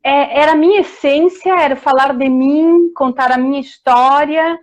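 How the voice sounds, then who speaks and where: Woman, middle-aged, southern Brazilian accent, slightly high voice, chatty, many restarts, video call sound. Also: normal speed, dull audio. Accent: southern Brazilian Portuguese, Rio Grande do Sul. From Rio Grande do Sul, Brazil. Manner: warm, chatty, with many restarts and tag questions. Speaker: historian and author guest. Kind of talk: casual interview storytelling.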